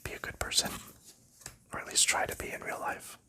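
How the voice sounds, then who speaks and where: A man chatting softly in a whisper for an ASMR video, rambling and chuckling lightly now and then. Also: brisk. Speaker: ASMR creator.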